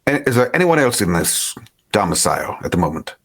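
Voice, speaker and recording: A man's voice: fairly low pitch, sing-song, fairly quick, fairly bright tone, breathy edge, pitched below the performer's own voice, exaggerated voice. A man playing a coolly civil, wry and menacing male vampire questioning captives in a tabletop game. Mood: civil, commanding.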